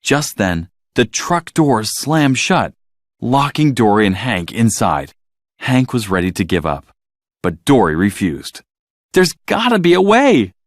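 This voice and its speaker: A man reading a scripted children's story as narrator, in an expressive, dramatic storytelling manner. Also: fairly low pitch, takes it slowly, no room sound, studio quality.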